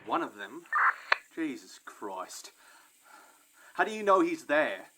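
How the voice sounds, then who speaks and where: A man's voice: fairly high pitch, big pitch swings, slow pace, heavy background noise, voice pushed up. A man acts as a bossy male security guard in an audio drama. He sounds exasperated and irritated, firing demanding questions at his partner. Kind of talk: audio play acting.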